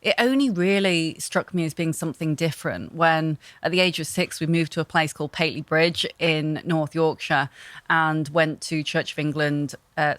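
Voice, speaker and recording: Low-voiced woman guest, thoughtful. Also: fairly bright tone, no room sound. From Hong Kong. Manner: thoughtful, with frequent fillers and restarts. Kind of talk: casual interview talk.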